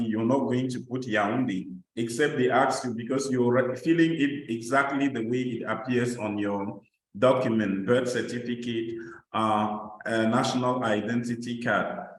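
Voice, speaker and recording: A man's voice: medium pitch, reverberant, low-quality recording. A Cameroonian man mentoring teachers on a video call, walking through an application form with repeated examples and many hesitations. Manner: explanatory, repeats points with examples, frequent uh fillers. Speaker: mentor presenting application guidance.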